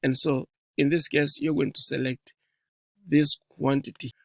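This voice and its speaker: Higher-pitched male instructor, step-by-step tutorial. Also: flat delivery, measured pace, gravelly, dry recording. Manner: explanatory, step by step. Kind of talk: tutorial walkthrough of a spreadsheet.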